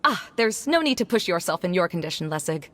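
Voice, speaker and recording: A woman's voice: lowish voice, sing-song, fast talker. An actress playing an earnest, reflective young scholar woman in an anime game. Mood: businesslike. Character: wistful, self-doubting young scholar woman running a fair.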